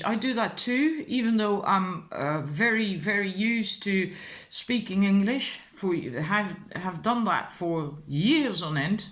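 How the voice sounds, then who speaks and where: A Dutch woman speaks English as a second language with a Dutch accent. She takes her time, at an unhurried pace and a middle pitch. Her talk is informal, encouraging spiritual guidance that wanders into asides. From Netherlands. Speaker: tarot card reader. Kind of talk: casual spiritual advice talk.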